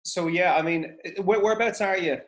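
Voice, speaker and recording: A man from Waterford with a softened Irish accent, hosting an interview in a chatty, enthusiastic way. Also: high-pitched, moderate pace. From Ireland, Waterford. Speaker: host, interviewer. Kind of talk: casual interview over video call.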